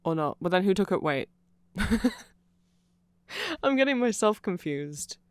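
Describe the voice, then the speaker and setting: Androgynous voice, middle pitch, dry sound, ASMR explainer, rambling, flustered, self-correcting, amused fan enthusiasm. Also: big pitch swings, a little slow, clear, resonant tone, dry recording. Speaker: audio creator explaining fan lore.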